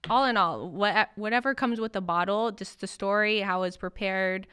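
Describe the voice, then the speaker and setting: A woman's voice: medium pitch, moderate pace, fairly bright tone, smooth, dry recording, studio quality. A woman hosting a podcast and interviewing a guest in a casual, conversational way.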